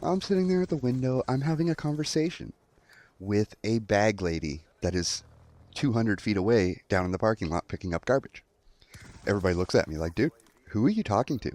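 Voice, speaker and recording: A man's voice: fairly low pitch, expressive, a little fast, a little mellow. A young adult man telling a story in a casual commentary style, acting out quotes as he goes. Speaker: online storyteller.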